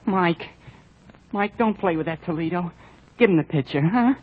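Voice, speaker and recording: A man's voice: high voice, normal speed, natural voice. A man playing a nervous, dim-witted sidekick crook in an old radio play, whiny and simple-minded. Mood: scared, pleading. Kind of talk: 1940s radio acting. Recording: noisy, muffled old radio recording.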